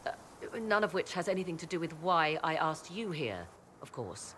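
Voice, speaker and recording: A woman's voice: low-pitched, sing-song, fairly bright tone, a little hiss or hum. A woman playing a proud, learned witch in a video game, speaking in formal, archaic, mysterious phrases. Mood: measured, urging. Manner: archaic, measured, theatrical phrasing. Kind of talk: video game cutscene acting.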